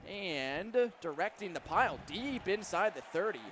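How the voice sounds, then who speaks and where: A man doing live football play-by-play for radio, giving crisp, detailed calls of each situation. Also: high voice, lively intonation, a little slow, fairly bright tone. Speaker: play-by-play announcer. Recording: clear stadium noise behind.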